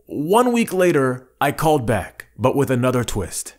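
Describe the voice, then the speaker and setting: A man's voice: mid-range voice, big pitch swings, normal speed, background noise. A man narrating a prank video in a wry voice-over.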